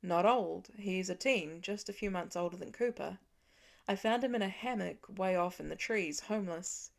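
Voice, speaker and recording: A woman voicing a weary, protective father, earnestly and gently explaining things to his children in an audiobook. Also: mid-range voice, normal speed, gravelly, natural voice. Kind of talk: acted audiobook dialogue.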